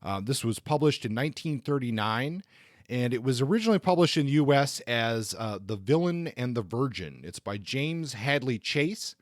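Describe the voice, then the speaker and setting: A man's voice: medium pitch, animated, normal speed, no room sound. A man with a mid-range voice talks as a podcast host. His delivery is casual and a little hesitant as he works through his thoughts, and the sound is dry, with little room to it. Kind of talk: casual podcast talk and book review.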